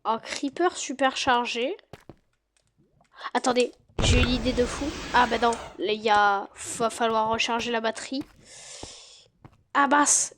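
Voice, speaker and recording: A woman with a stuffy cold, chatting excitedly to her viewers as she plays and comments on a game. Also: a little high, a little hiss or hum. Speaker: gaming YouTuber. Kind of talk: casual gameplay commentary.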